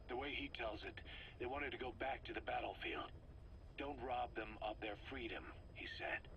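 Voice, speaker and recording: An actor playing a gruff, embittered military commander in a video game, guarded and stubborn, with terse, brooding delivery. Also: male voice, fairly low pitch. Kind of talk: dramatic video game voice acting. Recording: faintly noisy cassette tape recording.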